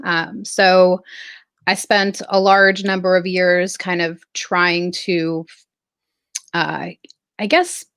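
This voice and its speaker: A woman from Southern California, a guest artist, telling her life story in a reflective, halting way with many fillers. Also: on the low side, little pitch movement, fairly bright tone, smooth, no echo, studio quality. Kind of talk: casual interview storytelling.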